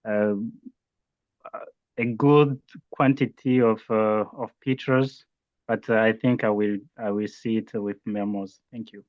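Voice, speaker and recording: A man's voice: lowish voice, fairly even intonation, unhurried, no echo. A man from Burundi, a training participant, politely and hesitantly asking a question on an online call. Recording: dull lo-fi call audio.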